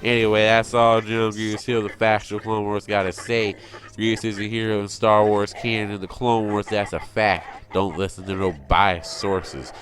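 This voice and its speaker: Low-voiced man in an insistent rant. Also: little pitch movement, moderate pace, fairly bright tone, slight background noise. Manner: insistent, repetitive, exasperated. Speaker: online video commentator. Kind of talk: opinionated fan rant.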